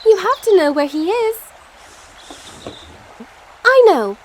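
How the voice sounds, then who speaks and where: A woman's voice: extremely high pitch, big pitch swings, takes it slowly, full character voice. A woman playing a determined, polite sixteen-year-old girl in a fairytale audio play, earnest in manner. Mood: pleading, hopeful. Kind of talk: amateur audio play acting. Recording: faint background noise.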